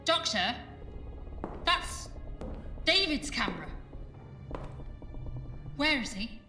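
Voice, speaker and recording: A woman's voice: sits high, very animated, extremely slow, bright, forceful tone, full character voice. An actress playing a tough, sardonic, embittered woman survivor in an audio drama, speaking bluntly. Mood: bitter, grieving. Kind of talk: full-cast audio drama acting. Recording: roomy sound with music behind.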